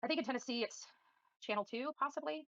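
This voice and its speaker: A woman's voice: high voice, fast, no room sound, lo-fi sound. A woman livestreaming commentary and answering viewers in a combative, defiant, scornful manner. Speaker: livestream host.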